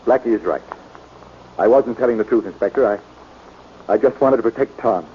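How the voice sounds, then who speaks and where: An actor playing a contrite, protective older father in a 1940s radio drama, speaking haltingly and apologetically. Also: fairly low pitch, lightly acted. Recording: dull, noisy old 1940s radio broadcast.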